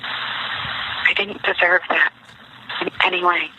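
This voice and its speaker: A woman's voice: lowish voice, level tone, slow. A woman leaving a rambling, repetitive voicemail, sounding aggrieved and accusatory, in a lo-fi recording played back. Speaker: voicemail caller. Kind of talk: rambling personal voicemail message. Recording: noisy lo-fi voicemail played over speakers.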